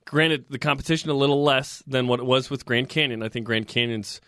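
A man hosting a sports talk radio show, recapping results and reading trivia in a steady, informative way. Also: mid-range voice, brisk, dry recording, clean studio sound.

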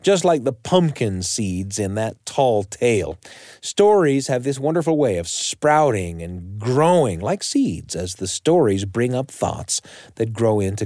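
A man's voice: mid-range voice, a little mellow, no room sound, studio quality. A man with an American accent hosting a storytelling show with scripted introductions. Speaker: show host. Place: studio.

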